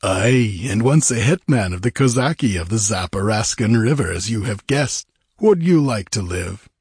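A man's voice: lowish voice, expressive, normal speed, darkish tone, breathy edge, no room sound, studio quality. A man voicing a proud, hard outlaw chief of the desert, imperious and mocking, in an adventure audiobook. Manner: imperturbable, commanding, scornful. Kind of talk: dramatised audiobook adventure reading.

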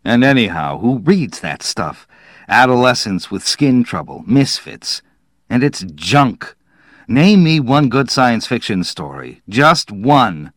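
A man's voice: low voice, measured pace, dry recording, studio quality. A man voicing an irritable, scornful male writer in an audiobook story, ranting emphatically and dismissively.

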